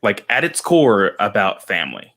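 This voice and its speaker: A man's voice: fairly low pitch, sing-song, moderate pace, fairly bright tone. A man co-hosting a casual podcast, enthusiastic, explaining his views and putting questions to the others. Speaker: podcast co-host.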